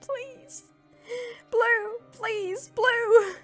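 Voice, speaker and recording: A woman voicing a frantic childlike female alien gem in a comic dub, with pleading, stammering, shrill delivery. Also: drawn-out words, fairly bright tone, faint music behind, heavily acted. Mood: desperate pleading. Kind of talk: animated comic dub acting.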